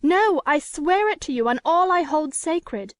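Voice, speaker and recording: Proud, indignant storybook lady, played by a woman narrator: very high pitch, formal, emphatic, close dry sound. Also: animated, unhurried, no echo. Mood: desperate, impassioned. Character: proud, defiant woman with an unhappy secret past. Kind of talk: dramatic audiobook character reading.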